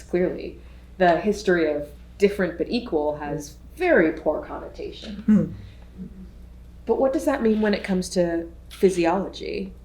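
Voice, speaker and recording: A woman's voice: fairly low pitch, darkish tone. Chatty musing woman in an echoey hall. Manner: chatty and musing, thinks aloud with restarts. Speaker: panelist. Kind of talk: casual panel discussion. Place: lecture hall. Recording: echoey hall with faint noise.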